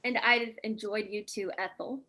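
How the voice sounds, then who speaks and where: A woman's voice: medium pitch, steady tone, normal speed, pushed and bright, close to an everyday voice, rough recording. A woman playing a polite, reserved married mother newly arrived in the neighborhood, in a staged play reading. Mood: courteous, composed. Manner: courteous, composed.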